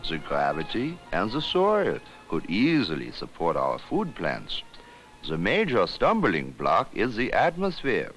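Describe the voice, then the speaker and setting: Deep-voiced, expressive alien ship's doctor. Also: male voice, full character voice. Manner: formal, precise, measured lecturing. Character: learned alien ship's doctor reporting on a planet. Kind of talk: scripted educational film drama.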